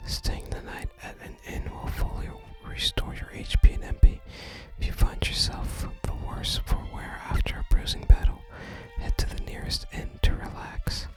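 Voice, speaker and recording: An ASMR gaming host speaking entirely in a soft, breathy whisper, narrating play and reading game dialogue aloud. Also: moderate pace, hardly any pauses. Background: game music.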